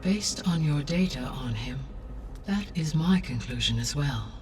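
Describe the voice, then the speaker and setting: An actress voicing a calm, wise female AI that speaks in a measured, formal way. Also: deep voice. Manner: measured, formal, precise diction. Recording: distant voice over loud music.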